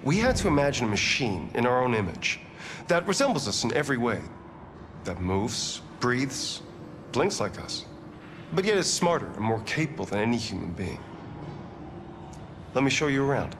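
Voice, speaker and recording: Confident tech ceo, 30s, explains things calmly, swingy pitch, noisy ambience behind. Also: male voice, normal speed, pauses often. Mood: confident, explanatory. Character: self-assured male tech founder giving a tour. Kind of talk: scripted game interview. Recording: clear ambient noise behind voice.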